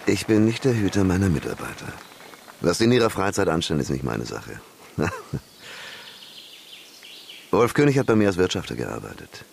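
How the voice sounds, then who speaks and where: An actor playing a slick, self-assured red-light boss in a German radio drama, jovial and expansive with menace underneath. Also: male voice, sits low, big pitch swings, normal speed, vocal fry, pauses often, darkish tone, a little hiss or hum, exaggerated voice. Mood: evasive, smug.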